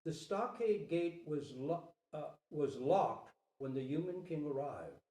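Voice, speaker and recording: A man tells a story theatrically and deliberately, in a mellow voice with wide swings of pitch. The recording sounds roomy, with the microphone at a distance. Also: sing-song. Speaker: storyteller, Zen teacher. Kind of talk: dramatic storybook reading.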